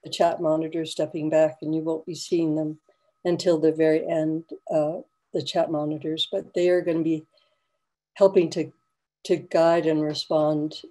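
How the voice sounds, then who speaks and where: A woman with a low, mellow voice moderates an online town hall over a video call. She talks softly and without hurry, filling gaps with gentle hums. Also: little pitch movement, moderate pace. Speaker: host.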